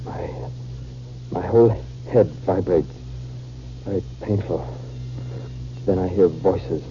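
An actor playing a pained, haunted army colonel in a 1950s radio drama, speaking haltingly. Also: male voice, low-pitched. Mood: pained, dazed. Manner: halting, repeating himself. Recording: dull, hissy 1950s radio recording.

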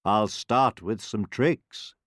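A man's voice: lowish voice, takes it slowly, no room sound, studio quality. A man voicing a courteous, showy storybook magician with a formal, ceremonious manner.

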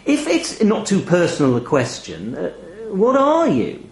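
A wry, disbelieving everyman played by a man questions things with sardonic hesitation. His pitch jumps about in an animated way, and the storybook reading sounds roomy and echoing. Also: unhurried, exaggerated voice. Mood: tentative. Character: wry, incredulous male narrator-hero confronting a talking raven. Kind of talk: audio short story character dialogue.

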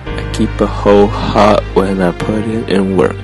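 A very deep-voiced man recites rap lyrics as a deadpan dramatic reading. His delivery stays nearly monotone, over clearly audible music. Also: a little slow. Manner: deadpan, recited like plain speech. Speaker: podcast co-host. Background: music behind the voice.

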